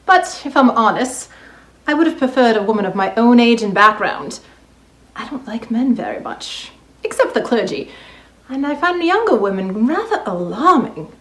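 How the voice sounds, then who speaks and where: A woman acts a genteel lady librarian in a radio-play style reading. She is prim and reserved, with a high, expressive voice in an echoey room. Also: normal speed. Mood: private musing. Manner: polite, proper, hesitant.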